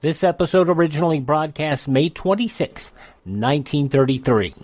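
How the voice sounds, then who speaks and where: A man's voice: a little high, expressive, moderate pace. A man introducing a vintage programme as a radio show host, from a script.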